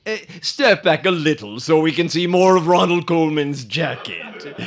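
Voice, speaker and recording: A man playing a dry, wisecracking license examiner in old-time radio comedy style, with drawn-out hems. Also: a little high, sing-song. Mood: wry.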